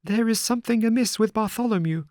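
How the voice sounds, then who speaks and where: Jittery high-voiced fretful gentleman, as a narrator puts him on. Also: normal speed, gravelly, no echo, clean studio sound. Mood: terrified. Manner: high anxious pleading. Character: nervous fretful gentleman, easily terrified.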